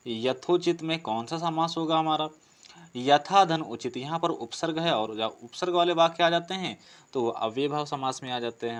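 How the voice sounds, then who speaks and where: A man from teaching like a coaching instructor, posing questions and explaining answers with frequent repetition. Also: mid-range voice. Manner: explanatory, repeats and rephrases answers, asks himself questions. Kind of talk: Hindi grammar lesson, working through practice questions.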